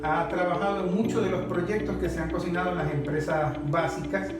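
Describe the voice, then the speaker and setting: A man's voice: high voice, fairly even intonation, fairly quick. A man with a Venezuelan accent hosting a news talk show, presenting topics and putting questions to guests. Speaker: host. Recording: roomy sound with faint music.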